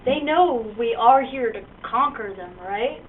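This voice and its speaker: Woman doing a high, cocky puppet conquistador. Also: animated, measured pace, slight background noise. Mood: smug, puzzled. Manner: cocky, grandly proclaiming, casual slang. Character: smug, greedy male Spanish conquistador, puppet show. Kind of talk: amateur comic puppet show. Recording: tinny lo-fi mic from a distance.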